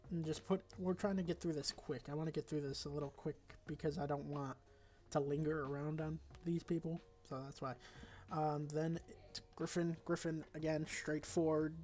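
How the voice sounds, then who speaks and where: A congested man with a raspy voice talks casually through a game tier list, rambling along with fillers and self-corrections. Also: on the high side, darkish tone. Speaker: gaming video creator.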